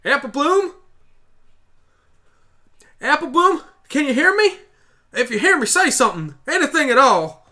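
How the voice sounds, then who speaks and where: A man's voice: extremely high pitch, big pitch swings, measured pace, pauses often, pushed and bright, voice pushed up, full character voice. A man voicing a stubborn, protective country mare pony with a folksy Southern US drawl, in an amateur audio drama. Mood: frantic, pleading. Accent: Southern US country drawl, put on. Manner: folksy, blunt, pleading.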